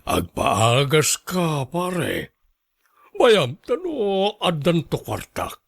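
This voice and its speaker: Man voicing a deep-voiced kindly older man. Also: wildly swooping pitch, extremely slow, vocal fry, a bit bright, husky, no echo, heavily acted. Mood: sympathetic. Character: kindly, generous older male pensioner friend. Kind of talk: Ilocano radio drama acting.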